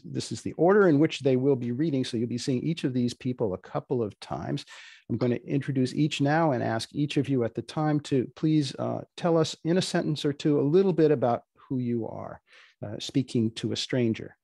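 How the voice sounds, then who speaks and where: Older guy hosting a video call event, mellow gravelly voice, dry delivery. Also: mid-range voice, moderate pace, no room sound. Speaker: host introducing readers. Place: indoor room on video call.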